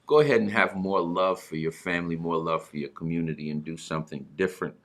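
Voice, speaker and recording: A man's voice: low voice, quick pace, fairly bright tone. A middle-aged man hosting a talk show, speaking conversationally and earnestly, often repeating words as he goes. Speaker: broadcast host.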